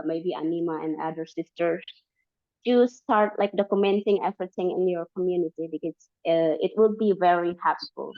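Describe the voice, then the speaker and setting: A woman from Borneo with an Indonesian accent, speaking English as a second language, talking in a halting way with many fillers and restarts as a community organizer. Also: on the low side. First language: Dayak language. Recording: dull, lo-fi.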